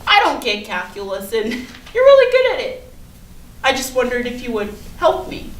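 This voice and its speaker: A woman acting an anxious, earnest high school girl in a staged play reading. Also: wildly swooping pitch, normal speed. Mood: sincere, hopeful. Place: indoor room at a staged reading. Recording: roomy, distant mic, faint noise.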